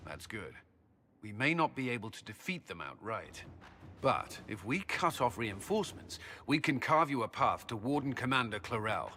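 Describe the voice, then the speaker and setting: An actor playing a resolute, authoritative military commander in a fantasy video game. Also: male voice, mid-range voice, big pitch swings, bright, forceful tone, slight background noise. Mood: resolute, businesslike. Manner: brisk, authoritative. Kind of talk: dramatic video game acting.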